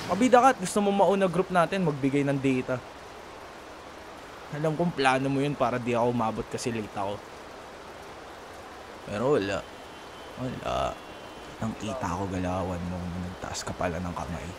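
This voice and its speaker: A man's voice: mid-range voice, lightly acted. A young man with a Filipino accent acting a sarcastic, teasing college rival in a playful, bickering audio roleplay. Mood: smug, grumbling. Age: young adult. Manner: taunting, playful, colloquial banter. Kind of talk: ASMR boyfriend roleplay, one-sided dialogue acting. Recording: steady rain noise behind voice. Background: falling rain.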